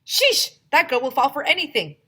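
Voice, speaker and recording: Lady doing a bratty little boy from a kids book, high n loud, loves teasing. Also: big pitch swings, normal speed, full character voice. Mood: scornful. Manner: exclaiming, mischievous. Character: A.J., cheeky, loud, teasing schoolboy.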